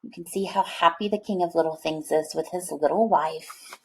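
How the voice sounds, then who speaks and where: A woman's voice: low-pitched, moderate pace, darkish tone, a little hiss or hum, rough recording. A woman reading a children's picture book aloud, expressively, with chatty asides to young listeners. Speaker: storybook reader.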